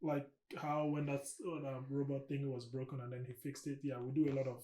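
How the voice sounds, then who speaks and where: A man's voice: medium pitch, little pitch movement, fairly quick, dark tone. A man from Nigeria chatting casually while reacting to a show, laughing often. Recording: echoey room with background noise.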